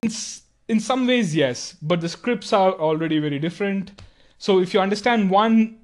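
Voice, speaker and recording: A man from India with a mixed Indian accent and Tamil as his first language. His voice sits slightly high, and he talks in a casual podcast chat. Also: normal speed, a little mellow. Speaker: podcast guest or co-host.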